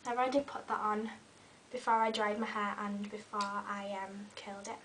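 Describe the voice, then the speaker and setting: A young woman in her twenties, recovering from a cold and a bit croaky, chatting casually through a hair tutorial in her bedroom. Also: mid-range voice, completely flat delivery, moderate pace, a bit bright, recorded from a distance, a little hiss or hum. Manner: chatty, talks while styling hair, many ums. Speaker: beauty vlogger. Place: small bedroom with fairy lights. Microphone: webcam a little way off.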